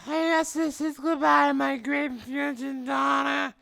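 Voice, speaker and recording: A loving, melodramatic mother, played by a man, pitched very high. Every word is milked for sentiment in a comic story reading. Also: flat delivery, takes it slowly, creaky, pushed and bright, no room sound, full character voice. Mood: tearful farewell.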